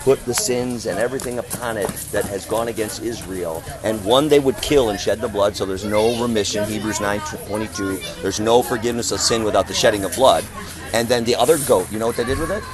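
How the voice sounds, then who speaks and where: A man's voice: on the low side, brisk, over music. A man with a big voice preaching on the street in an emphatic, declamatory manner full of rhetorical questions. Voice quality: big, loud voice. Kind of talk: impassioned street preaching and open-air discussion.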